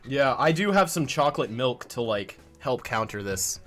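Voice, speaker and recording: A man's voice: medium pitch, lively intonation, moderate pace, a bit bright, soft background music. A man co-hosting a livestream with casual, jokey, exclamatory banter. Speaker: livestream co-host.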